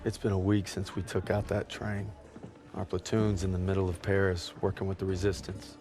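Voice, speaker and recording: A man's voice: deep voice, monotone, normal speed, over music. A man narrating as a weary, plain-spoken soldier in a war game.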